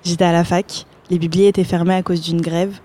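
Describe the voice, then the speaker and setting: A woman's voice: fairly low pitch, a little fast. A woman in her twenties from the Paris area, telling stories as a podcast guest with lots of fillers and slang. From France, born in Paris, raised in Seine-Saint-Denis. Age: 20s. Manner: lively storytelling with fillers and slang. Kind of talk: casual interview chat.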